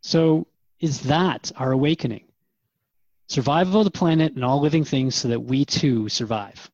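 A dry, slightly dull recording of a curious radio interviewer, played by a man in a drama. The manner is informal and questioning, a little bemused, at a middle pitch. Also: normal speed, darkish tone, no room sound, lightly acted. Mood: earnest, questioning. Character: curious, casual male human radio interviewer.

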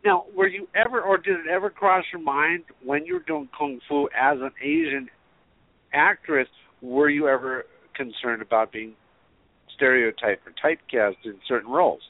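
Higher-pitched male radio host, tinny sound. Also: big pitch swings, moderate pace, gravelly, no room sound. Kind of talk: live talk radio interviewing. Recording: tinny, phone-like radio audio.

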